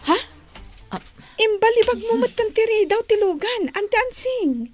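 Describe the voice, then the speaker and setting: High-voiced, concerned young lady. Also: expressive, measured pace, a little mellow, faint music behind. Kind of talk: Ilocano radio drama acting.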